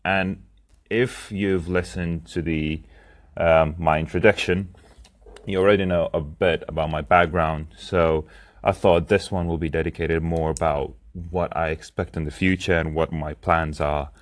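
A young man from Lithuania, speaking English as a second language, talks through his plans in a reflective personal diary monologue, hesitating often with ums and restarts. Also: deep voice. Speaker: podcast host and founder.

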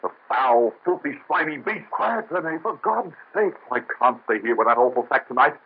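An actor playing an anxious physician, fretful and pleading, with a husky voice. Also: male voice, mid-range voice, very animated, moderate pace. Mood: frantic. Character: anxious male physician, haunted and desperate. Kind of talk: 1930s radio drama. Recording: tinny old radio recording.

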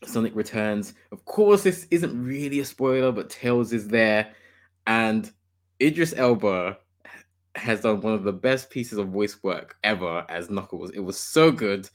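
A man's voice: lowish voice, animated, unhurried. A man hosting a casual gaming podcast, enthusiastic and opinionated, laughing often. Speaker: podcast host.

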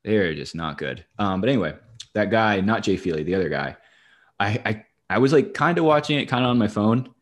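Low-voiced man, dry sports banter. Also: expressive, brisk. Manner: dry, wry, profane. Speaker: sports podcast host. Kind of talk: casual banter and sports commentary.

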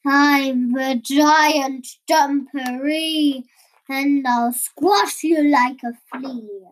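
A child voicing a boastful, menacing unseen storybook monster in a loud, drawn-out way. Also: sits high, fairly even intonation. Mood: boastful menace.

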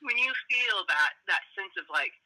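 Loud animated woman on a call, super bright forceful voice, chatty interview guest. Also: mid-range voice, normal speed, poor audio. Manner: naturally loud, animated talker. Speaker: parenting program guest. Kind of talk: casual interview conversation.

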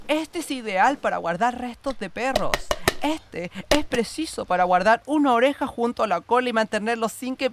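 A woman's voice: a little high, creaky. Actress as chatty pushy saleswoman neighbour. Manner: effusive, gossipy saleswoman patter with drawn-out words. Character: chatty, pushy, theatrical neighbour woman selling plastic containers. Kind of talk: theatrical radio drama acting. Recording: noisy recording with clicks.

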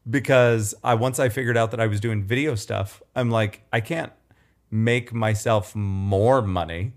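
A man with a nasal, throaty voice and a fairly low pitch talks in loose, rambling podcast chat. He often restarts his sentences and pokes fun at himself. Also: measured pace. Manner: self-deprecating, rambling with restarts. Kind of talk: casual chat.

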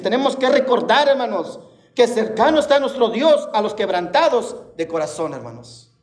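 High-voiced fervent preacher man, echoey hall. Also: darkish tone. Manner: fervent, repeats "hermanos" often. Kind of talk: sermon. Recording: echoey hall, voice drifting from mic.

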